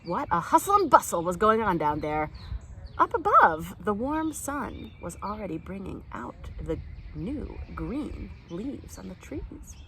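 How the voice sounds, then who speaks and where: A woman reading a children's picture book aloud in an expressive, animated storytime style. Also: medium pitch, a little slow, a little hiss or hum, rough recording. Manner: animated, acts out the characters' lines. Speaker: storytime reader.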